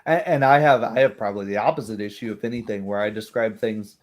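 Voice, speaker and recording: A man's voice: fairly low pitch, fast talker. A man talking casually as a tabletop game master, acting out bits of imagined players' lines as he goes. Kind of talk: casual chat.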